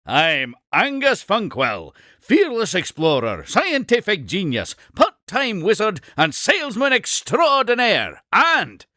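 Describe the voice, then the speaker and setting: A man's voice: high voice, wildly swooping pitch, takes it slowly, sharp tone, no echo, studio quality, exaggerated voice. A man voicing an eccentric, boastful explorer and inventor creature in a video game, with a Scottish accent and a theatrical salesman's manner. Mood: jovial, boastful. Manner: theatrical, showman-like, chuckling salesman patter. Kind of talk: scripted video game character speech.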